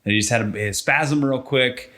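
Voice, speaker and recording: A man's voice: mid-range voice, moderate pace, pushed and bright. A man co-hosting a casual wrestling podcast, talking enthusiastically like an announcer. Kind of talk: casual podcast chat about pro wrestling.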